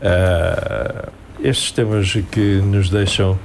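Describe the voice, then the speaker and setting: A man's voice: sits low, extremely slow, vocal fry. A man with a European Portuguese accent chatting casually on air as a radio host. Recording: some background noise.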